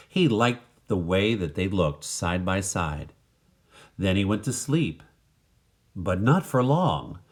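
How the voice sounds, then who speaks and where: A man reading a children's story aloud in expressive scripted narration. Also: on the low side. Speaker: storybook reader.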